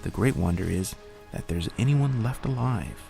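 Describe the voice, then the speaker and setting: A prim, fretful young girl, as a man reading aloud puts her on. The voice stays deep and very gravelly, lifted only a little. Soft music plays beneath. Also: lively intonation, moderate pace.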